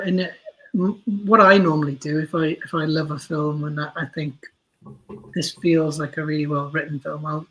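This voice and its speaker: A man with a fairly high, husky voice explains things as an interview guest. His intonation stays quite flat, and his phrasing is informal and stop-start, offering practical advice. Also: poor audio. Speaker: podcast guest, audio drama writer. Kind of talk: casual explanatory interview talk.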